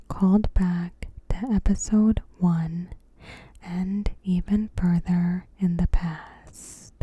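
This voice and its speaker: A woman ASMR host whispering a scripted recap in a breathy, gentle and deliberate way. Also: lowish voice, no echo.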